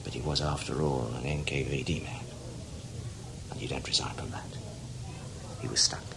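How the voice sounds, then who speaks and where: An actor playing a seasoned, cynical older British spy in a radio drama, talking in a wry, knowing, emphatic way. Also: male voice, very deep voice, fairly even intonation, normal speed, creaky, noisy background. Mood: reflective, cynical. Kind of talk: radio drama acting, conversational.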